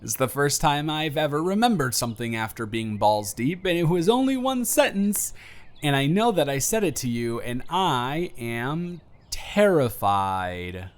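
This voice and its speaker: A young man acting a nervous friend making an emotional confession in an intimate audio roleplay, rambling with halting emphasis. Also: medium pitch, big pitch swings. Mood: anxious, joking.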